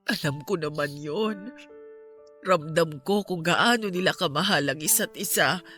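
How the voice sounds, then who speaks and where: A woman playing a loyal, comforting best friend in a Filipino radio drama, reassuring and steady, with a Filipino accent. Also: fairly low pitch, unhurried. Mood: consoling. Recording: music behind the voice. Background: music.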